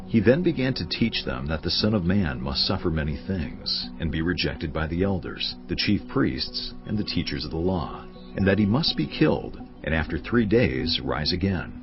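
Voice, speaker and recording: An actor playing a grave Bible narrator, telling scripture in a measured, solemn dramatic style. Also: male voice, low-pitched. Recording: dull sound under prominent music. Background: music.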